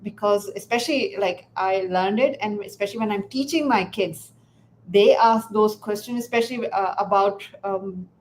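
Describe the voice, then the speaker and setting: A woman whose first language is Urdu, hosting a casual interview and talking in a halting way with many fillers and restarts. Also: medium pitch, a little hiss or hum, poor audio. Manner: hesitant, many fillers and restarts, laughs often. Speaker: podcast host.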